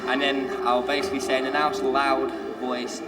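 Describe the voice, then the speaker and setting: A man's voice: medium pitch, flat delivery, normal speed. A man, a trainee supervisor, talking in an upbeat, self-assured way with restarts while he works. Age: 20s. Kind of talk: documentary interview and on-the-job talk. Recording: roomy space with clear background noise.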